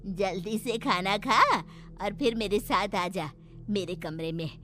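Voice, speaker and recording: A woman's voice: medium pitch, takes it slowly, bright, forceful tone, a little hiss or hum. A woman playing a stern, protective old village grandmother in a cartoon story, firm and admonishing. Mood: concerned.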